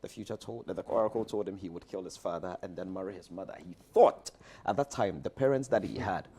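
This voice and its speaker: Fast-talking, low-voiced Ghanaian man, emphatic guest. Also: warm tone, husky. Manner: emphatic, repeats phrases for effect. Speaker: theatre director guest. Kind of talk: animated promotional interview chat.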